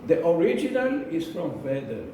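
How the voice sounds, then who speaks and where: A man giving a prepared speech to an audience in a slow, deliberate manner, repeating phrases for emphasis. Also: fairly high pitch, very animated. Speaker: club speaker giving a speech. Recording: echoey room, distant mic, noisy.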